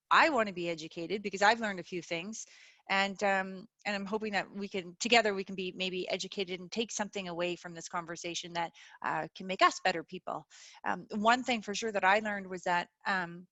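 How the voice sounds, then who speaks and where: A middle-aged woman hosting a webinar over a video call, talking earnestly with many pauses and fillers. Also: medium pitch, a bit bright, no room sound. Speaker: webinar host. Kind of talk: casual chat.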